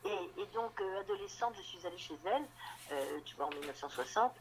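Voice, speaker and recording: A woman novelist being interviewed on radio, talking in a halting way and often repeating words while she looks for them. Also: lowish voice, expressive, background noise. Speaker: novelist guest.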